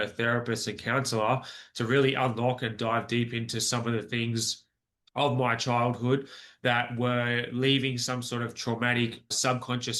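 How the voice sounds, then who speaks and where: A man's voice: medium pitch, a bit bright. A man in his forties with an Australian accent, telling his personal story as a podcast guest in an earnest, rambling way with many restarts. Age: 40s.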